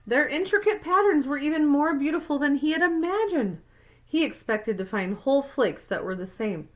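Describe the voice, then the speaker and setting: A woman's voice: fairly high pitch, highs cut off. A woman teacher reading a picture book aloud to young children, adding simple explanatory asides. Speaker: second grade teacher.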